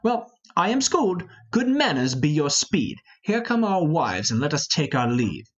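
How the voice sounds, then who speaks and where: A man's voice: a little high, big pitch swings, normal speed, slightly rough, muffled recording. A man playing a scornful, impatient young nobleman in an amateur reading of Shakespeare. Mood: wry. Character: hot-headed impatient young nobleman, mocking and restless.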